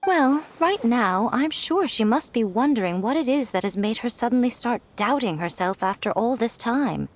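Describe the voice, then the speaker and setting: Poised teasing game lady, very expressive pitch, formal n smug. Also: moderate pace. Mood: knowing. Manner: arch, amused, elegantly formal. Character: sly, poised, teasing woman who enjoys provoking others. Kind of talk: video game voice acting. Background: game music.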